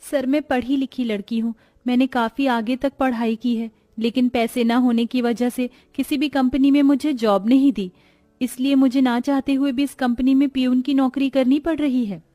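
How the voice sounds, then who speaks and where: A humble poor young woman from a cartoon morality tale, acted by a woman. Her voice is very high with a mellow tone. She speaks earnestly and pleadingly, like someone hardworking and overlooked. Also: steady tone, normal speed, no room sound, lightly acted. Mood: earnest, respectful. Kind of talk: scripted cartoon moral story acting.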